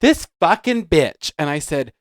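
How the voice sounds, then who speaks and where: Man, podcast co-host, teasing banter, animated pitch, dry close sound. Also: a little slow, dry recording. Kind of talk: casual chat.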